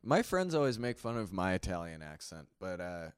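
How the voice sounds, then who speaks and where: A young man co-hosting a casual movie podcast, trading deadpan, ironic jokes. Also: deep voice, normal speed, clear, resonant tone, dry recording, clean studio sound. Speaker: podcast co-host. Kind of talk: casual movie-review chat.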